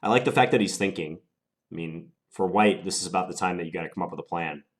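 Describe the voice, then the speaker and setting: Very deep-voiced man with a cold. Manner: deliberate, musing, unhurried analysis. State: stuffed up from a cold. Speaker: chess player commentating his own game. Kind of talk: thinking aloud while playing.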